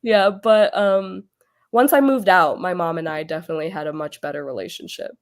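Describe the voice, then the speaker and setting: A woman hosting a casual book club chat over a video call, losing her voice as she gets over an illness, chatty and quick to laugh. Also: lowish voice. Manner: chatty, laughs often, trails off.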